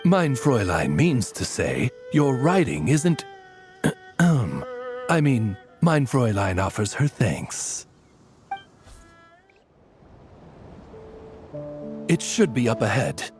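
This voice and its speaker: A man playing a formal, eloquent manservant in a game, speaking politely and with measured courtesy. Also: medium pitch, big pitch swings, soft background music. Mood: diplomatic. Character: courteous, formal male attendant to a grandiose young lady. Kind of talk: animated game voice acting.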